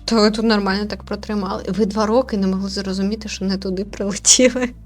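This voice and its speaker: A woman's voice: medium pitch, steady tone, quick pace. A woman hosting a casual let's play, commenting informally and playfully as she nitpicks. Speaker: gaming host, player commenting. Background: game music.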